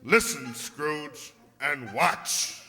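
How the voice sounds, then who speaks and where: An actor playing a stern, solemn ghostly spirit guide in a radio drama, declaiming theatrically. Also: male voice, fairly high pitch, extremely slow, bright, forceful tone, exaggerated voice. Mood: solemn, presenting. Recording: reverberant hall recording.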